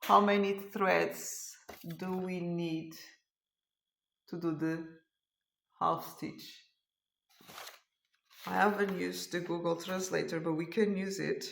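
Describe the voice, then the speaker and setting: A woman's voice: lowish voice, steady tone, takes it slowly, gravelly, long pauses, echoey room, a little hiss or hum. A woman whose first language is Portuguese, hosting a cross stitch vlog, tired at the end of a long day, chatting and thinking aloud as she searches for words. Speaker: cross stitch channel host. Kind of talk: casual show-and-tell vlog.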